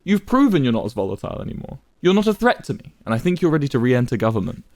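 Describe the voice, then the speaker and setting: A man's voice: medium pitch, big pitch swings, very fast, darkish tone, dry recording, studio quality, voice pushed up. A man voicing a smug, calculating young male leader with a cool, cutting manner.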